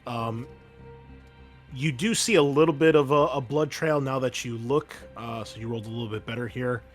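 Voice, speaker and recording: A man's voice: medium pitch, moderate pace. A man narrating a tabletop game as game master in a casual, conversational, descriptive way. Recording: music playing underneath. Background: music.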